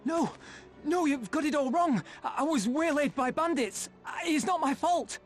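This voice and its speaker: An actor playing a frightened peasant in a video game, stammering and pleading. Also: male voice, very high voice, animated, moderate pace, faint music behind. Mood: panicked pleading. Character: frightened, pleading male peasant tribute bearer. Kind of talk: video game cutscene voice acting.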